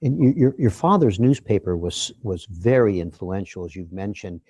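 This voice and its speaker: A man hosting a live interview at a museum, introducing the guest and asking questions in a measured way. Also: on the low side, animated, mellow, slightly rough, no echo. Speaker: interviewer and host.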